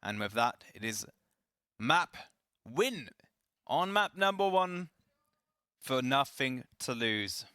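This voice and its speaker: A man casting a live esports match, chatty and self-deprecating, his voice slightly raspy. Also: mid-range voice, big pitch swings, takes it slowly, sharp tone, no echo, studio quality. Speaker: esports caster.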